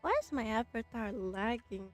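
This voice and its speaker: A woman's voice: on the high side, normal speed. A woman game streamer giving casual reactions as she plays. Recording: game music behind the voice.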